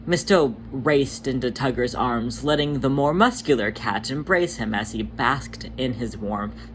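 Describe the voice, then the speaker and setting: A narrator reading fan fiction aloud in an expressive storytelling style, with a mid-range voice. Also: medium pitch, measured pace, rough recording. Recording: faint background noise.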